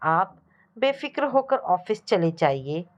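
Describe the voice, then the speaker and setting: A woman's voice: on the low side, very animated, slow, dull audio. A woman as a helpful, blunt college girl. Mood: reassuring.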